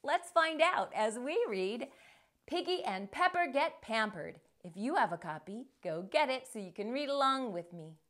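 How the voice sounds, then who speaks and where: A middle-aged woman hosts a children's storytime in a fairly high, very lively voice. She reads expressively and unhurriedly, shaping each phrase for young listeners. Her tone is bright and clear-cut. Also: moderate pace. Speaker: children's storytime host and reader. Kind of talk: read-aloud storytelling for children. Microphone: lapel mic.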